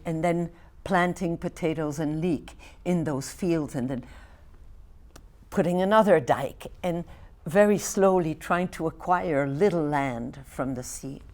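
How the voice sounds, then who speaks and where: Older woman in her eighties, Flemish accent, Flemish native speaker, poet reading her own work, unhurried, soft measured delivery, slips into whispers. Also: lowish voice. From Belgium. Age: 80s. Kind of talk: poetry reading.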